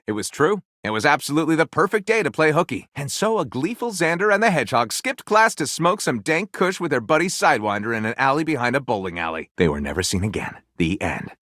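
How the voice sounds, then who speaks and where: A man's voice: on the high side, a bit bright, no room sound, studio quality, full character voice. An actor playing a flamboyant, grandiose male storyteller in a video game, delivering dramatic storybook narration and wry asides with pompous relish. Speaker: voiced video game character.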